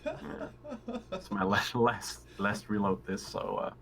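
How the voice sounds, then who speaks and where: A man's voice: fairly low pitch, very animated, a little slow, fairly bright tone. A man chatting casually as a player in an online tabletop roleplaying game. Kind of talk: casual chat during online gaming. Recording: noisy online voice call.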